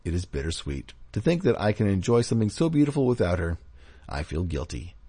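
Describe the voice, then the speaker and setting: Male podcast reader of written anecdotes, lowish animated voice, dry recording. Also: brisk, husky, no echo. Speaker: podcast host reading forum posts aloud. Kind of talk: scripted reading aloud of anecdotes.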